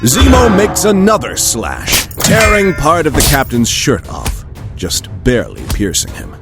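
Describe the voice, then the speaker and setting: An actor serving as the dramatic storyteller of a scripted superhero audio drama. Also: male voice, on the high side, wildly swooping pitch, measured pace, over loud music, heavily acted. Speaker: narrator.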